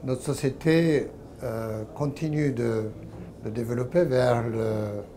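A man in his sixties with a foreign accent answers interview questions as a company president. He speaks slowly, pausing often to search for words in a language that is not his first. His pitch is mid-range, and clear trade-show noise runs behind him. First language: not French. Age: 60s. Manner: halting, word-searching delivery with frequent hesitations. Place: small exhibition stand room at trade fair.

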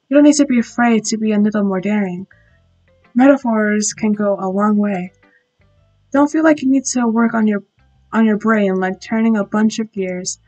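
A woman voicing a shy, bookish teenage girl, reading softly with small slips. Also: mid-range voice, close to an everyday voice, low-quality recording. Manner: soft, halting reading with slips. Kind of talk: reading visual novel lines aloud.

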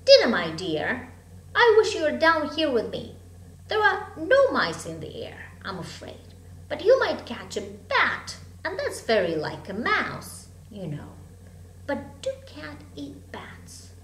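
A woman's voice: high voice, huge pitch swings, slow pace, a bit bright, exaggerated voice. A woman voicing a curious, chatty little storybook girl who muses earnestly to herself. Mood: earnest, fond. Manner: wondering, earnest self-talk. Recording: echoey room, hum and background noise.

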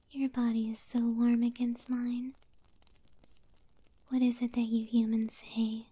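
A woman voicing a possessive, doting moth monster girlfriend in a soft, sweet, breathy ASMR whisper, close to the microphone. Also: fairly high pitch, completely flat delivery, slow, pauses often. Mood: affectionate. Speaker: ASMR roleplay performer.

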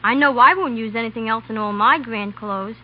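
A woman's voice: fairly high pitch, fairly quick. A woman, a guest speaking scripted lines in a 1930s radio interview. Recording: muffled 1930s radio recording with hum.

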